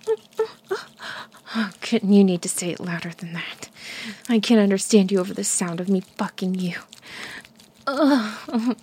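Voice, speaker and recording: A woman whispering an intimate audio roleplay, breathy, teasing and commanding. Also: mid-range voice, a little mellow.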